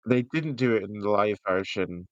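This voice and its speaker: A man's voice: lowish voice, expressive, normal speed, no echo, highs cut off. A man co-hosting a podcast, chatting casually as an enthusiast reviewing something. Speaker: podcast co-host. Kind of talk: casual chat, fan review.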